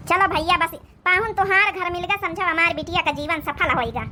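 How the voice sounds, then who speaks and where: A woman's voice: very high-pitched, a little fast, sharp tone, a little hiss or hum. A woman voicing an affectionate, fussy ageing village mother-in-law in a cartoon comedy, with an Awadhi accent. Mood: chatty.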